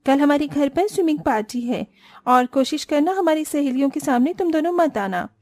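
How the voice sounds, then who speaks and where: A woman's voice: high voice, lively intonation, normal speed, a little mellow, no echo. A woman voicing a haughty, spoiled and showy young rich sister-in-law in a cartoon family story. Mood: bossy. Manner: scornful, complaining, showy.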